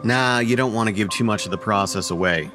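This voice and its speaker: A young man playing a bossy, practical college student in an audio horror drama, brisk and sardonic. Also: on the low side, over music, natural voice. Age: young adult. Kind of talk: radio horror drama acting.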